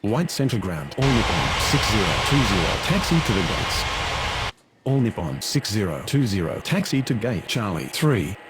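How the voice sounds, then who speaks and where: A man's voice: on the low side, very animated, moderate pace. A man giving procedural, scripted air traffic control radio phrases. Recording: loud engine-like background noise.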